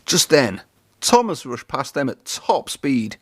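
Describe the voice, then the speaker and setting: A man reading a children's story aloud in an expressive narrating style. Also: mid-range voice, takes it slowly, breathy edge, no room sound.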